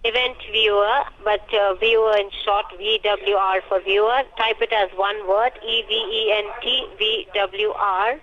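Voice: mid-range voice, steady tone, a little slow, few pauses, pushed and bright. A caller giving insistent step-by-step computer support instructions over a telephone line. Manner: insistent, spells with code words. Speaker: phone scammer posing as tech support. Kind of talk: scripted step-by-step phone instructions. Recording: dull, faintly noisy telephone line.

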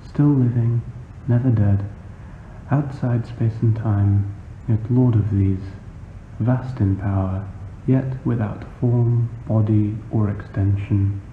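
A man's voice: on the low side, steady tone, slow. A man reading a story and voicing a theatrical believer who argues in a solemn, declamatory way. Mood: grandiose, solemn. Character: theatrical male believer arguing theology, solemn and grandiose. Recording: muffled phone-like sound, noisy, roomy echo.

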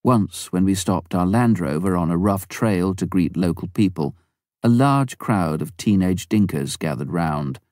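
Deep, dark-voiced man reading a memoir. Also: no echo, studio quality. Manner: measured, even first-person reading. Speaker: audiobook reader. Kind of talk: scripted memoir narration.

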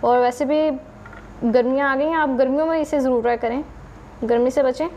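A woman's voice: high voice, fairly even intonation, fairly quick, darkish tone, slight background noise. A woman hosting a home cooking channel with a Pakistani Urdu accent, patiently explaining each recipe step to her viewers.

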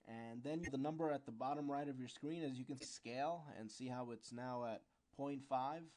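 A man giving an informal, unscripted software tutorial, explaining step by step and sometimes searching for words. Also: medium pitch, normal speed.

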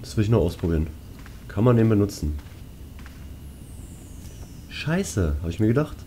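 Low, mellow man musing over a game. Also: big pitch swings, moderate pace, a little hiss or hum. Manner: musing aloud, thinking through puzzles. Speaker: let's player. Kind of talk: casual gameplay commentary. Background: game music.

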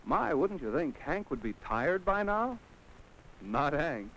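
A man's voice: a little high, big pitch swings, slow, a bit bright, slight background noise. An American man giving scripted narration in the manner of a 1950s educational film, talking straight to a child with rhetorical questions. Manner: addresses the child on screen directly with rhetorical questions. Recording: dull vintage 1950 film soundtrack.

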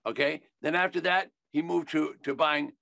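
A man's voice: fairly high pitch, steady tone, moderate pace, creaky, pushed and bright, no echo. An older American man teaching in an emphatic, folksy sales style, repeating key phrases on a video call. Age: older, 70s. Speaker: investing educator. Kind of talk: instructional sales talk.

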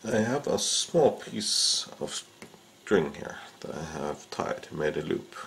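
A man with an extremely low, gravelly voice walks through a hands-on repair at an indoor workbench. He talks slowly and unhurriedly, pausing often with "uh" and "um" as he works. A faint hiss of room noise sits under his voice. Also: sing-song, fairly bright tone. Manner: unhurried, with frequent "uh" and "um" fillers. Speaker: fishing rod repair instructor. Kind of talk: casual how-to demonstration.

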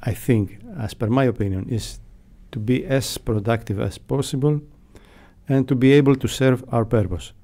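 A middle-aged man talks in a dark, relaxed voice of average pitch. He explains his points in measured, deliberate phrases as an interviewee, close to a studio microphone. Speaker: radio sound technician, interviewee. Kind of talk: unscripted explanatory interview answers. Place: acoustically padded radio studio. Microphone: close broadcast mic.

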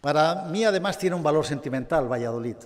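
Older man, award speech, slightly high voice, measured and wry, reverberant gala hall. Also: expressive. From Catalonia, Spain. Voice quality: measured, with light humor. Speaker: award recipient. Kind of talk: formal acceptance speech.

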